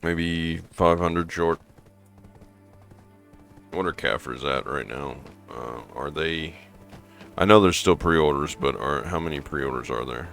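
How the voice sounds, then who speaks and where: Hoarse man, very low voice, casual rambling gameplay talk over soft music. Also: slow pace, long pauses. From American Midwest. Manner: rambling, thinking aloud with fillers. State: hoarse from allergies. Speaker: gaming streamer.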